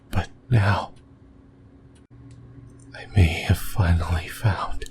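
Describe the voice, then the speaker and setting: A man voicing a creepy, possessive, lonely sleep paralysis demon in a whispered ASMR roleplay, breathy and halting, close to the mic. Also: deep voice, a little hiss or hum. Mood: yearning. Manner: slow, halting, rambling, intimate musing. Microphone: close microphone.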